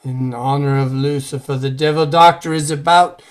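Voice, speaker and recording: An actor playing an older, grave and fearful devout servant in an old-time radio horror play, solemn and ominous. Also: male voice, fairly high pitch, steady tone, clear, resonant tone, close to an everyday voice. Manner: solemn, prayerful, ominous, deliberate.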